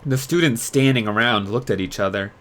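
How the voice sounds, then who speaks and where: A man reading a story aloud as a streamer, in an expressive storybook narration style. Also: medium pitch, brisk.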